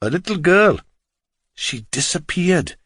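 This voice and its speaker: A man's voice: on the high side, big pitch swings, slow pace, fairly bright tone, breathy edge, dry recording, studio quality, voice pushed up, exaggerated voice. A man voicing a middle-aged Welsh taxi driver in an audiobook story, chatty, nosy and informal. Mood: gossipy, grave.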